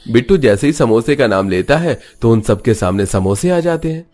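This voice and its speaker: A man's voice: medium pitch, lively intonation, moderate pace, darkish tone. A man giving scripted narration for a cartoon moral story. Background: background music.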